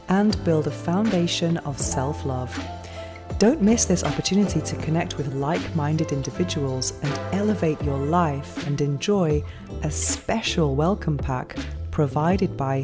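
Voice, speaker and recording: A woman hosting a podcast, reading an ad and introducing her guest in a measured, scripted way. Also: low voice. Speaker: podcast host. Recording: music bed under voice.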